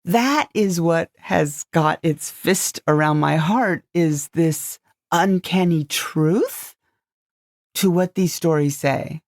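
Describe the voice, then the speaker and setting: A woman's voice: lowish voice, takes it slowly, a little mellow, dry recording, studio quality. A woman from the United States co-hosting a podcast, chatting casually about stories she writes. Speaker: podcast co-host and story writer.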